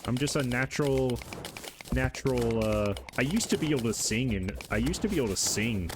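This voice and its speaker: A man's voice: medium pitch, animated, brisk, background noise. A man in his thirties with a light voice, chatting casually while streaming a game and rambling through answers to his chat.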